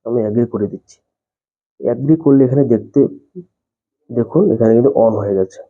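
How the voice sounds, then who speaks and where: A man's voice: medium pitch, slightly rough. A man narrating a step-by-step phone tutorial, explaining things repetitively and addressing viewers directly. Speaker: tech tutorial host. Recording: muffled, dull lo-fi voice-over.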